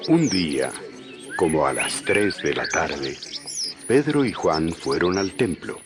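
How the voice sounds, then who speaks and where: A man narrating a dramatized Bible story in an expressive storytelling manner. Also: deep voice, slow, over music.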